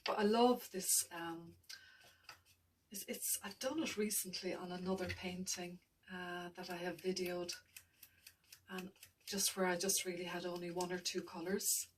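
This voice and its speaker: Softly mumbling woman narrating a painting. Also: on the low side, steady tone, measured pace, distant mic, slight background noise, poor audio. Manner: thinking aloud, mumbling, trailing off. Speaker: art tutor.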